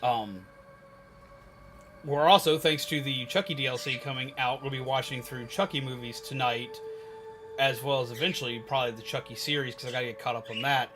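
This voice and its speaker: A man livestreaming, chatting casually and rambling to viewers in an animated, emphatic, opinionated way. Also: mid-range voice, fairly quick, faint music behind. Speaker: live streamer. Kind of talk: casual rambling chat with viewers while gaming.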